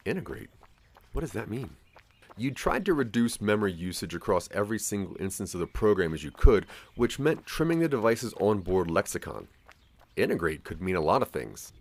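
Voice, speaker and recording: A man giving a scripted second-person narration for an audio drama. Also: fairly low pitch.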